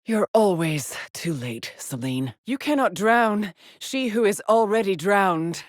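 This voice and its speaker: Slow-spoken haunted woman astronaut. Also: medium pitch, animated, no room sound, clean studio sound. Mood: bitter, anguished. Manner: slow, measured, brooding delivery with pauses. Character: haunted, driven female astronaut explorer, weary and introspective. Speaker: video game voice actress. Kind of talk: dramatic game monologue, solitary log recordings.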